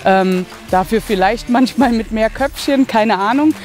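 A woman's voice: a little high, measured pace, a little mellow, faint music behind. A woman in her thirties who plays amateur football, answering interview questions in a firm, plain-spoken way on an outdoor field at night. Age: 30s.

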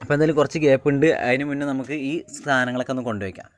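A man's voice: mid-range voice, steady tone, rapid-fire. Man rambling through cooking, clattering noisy background. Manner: rambling, repeats phrases as he works. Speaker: home cook and vlogger. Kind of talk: casual cooking demonstration to viewers. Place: home cooking spot with wood fire. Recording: clattering pans and clicks, noisy self-shot recording.

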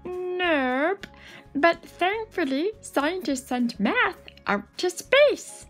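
A woman's voice: very high voice, very animated, very slow, faint music behind, voice pushed up, heavily acted. A woman voicing a curious, friendly storybook space alien who explains things cheerfully and asks questions. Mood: cheerful explaining.

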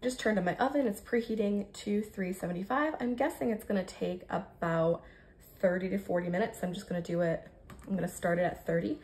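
Creaky-voiced American woman, casual cook. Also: medium pitch, brisk, a little mellow. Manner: chatty, informal step-by-step explaining. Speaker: home cook vlogger. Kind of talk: casual cooking narration. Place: home kitchen, indoors.

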